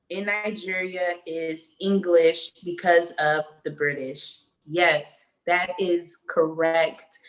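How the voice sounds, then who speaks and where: A young woman co-hosting a casual live stream, playful and animated, laughing often as she reads viewer comments. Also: fairly low pitch, poor audio. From United States. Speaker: co-host.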